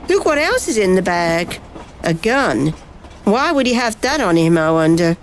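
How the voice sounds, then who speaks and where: A woman playing a brisk, secretive, commanding FBI agent in an amateur radio play. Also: mid-range voice, sing-song, natural voice. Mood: accusing. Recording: noticeable background noise.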